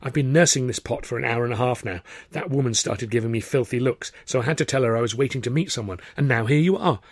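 A man's voice: mid-range voice, slightly rough, no echo. A man voicing a bold, bossy, cheerful young woman who teases and takes charge in an audiobook. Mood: eager. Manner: brisk, teasing, takes charge. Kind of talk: audiobook character voice.

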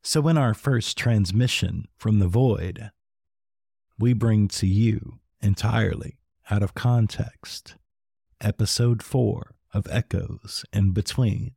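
Male host for dramatic scripted intro; low-pitched, measured, very dry close sound. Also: creaky, darkish tone, slightly rough, dry recording. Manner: measured, theatrical. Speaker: showcase host.

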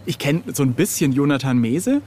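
A man's voice: mid-range voice, animated, moderate pace, a little mellow. A man in his late forties from southern Germany telling anecdotes casually as an interview guest, quoting others in direct speech. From southern Germany (Swabia). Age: late 40s. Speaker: interview guest, freelance illustrator and cover artist. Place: outside at a street café in a city. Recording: ambient noise of an outdoor café.

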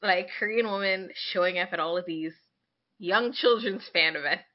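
A woman's voice: on the low side, moderate pace, dull audio. A young woman co-hosting a podcast, chatting casually in a blunt, slangy, opinionated way. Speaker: podcast co-host.

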